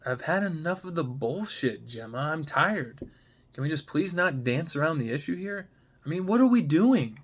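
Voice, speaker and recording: A man's voice: on the high side, expressive, normal speed, husky, voice pushed up. A man acts out a lovesick young man in a romantic drama. He is earnest and exasperated, pleading with the one he loves. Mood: frustrated, pleading. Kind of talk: dramatic screenplay reading.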